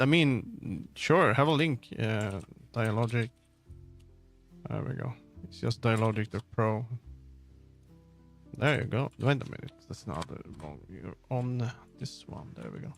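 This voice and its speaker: A man chatting casually on a livestream as he works, often halting and muttering to himself. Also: medium pitch, expressive, creaky, a bit bright. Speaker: livestreamer making a game. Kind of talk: casual livestream chat while working. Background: background music.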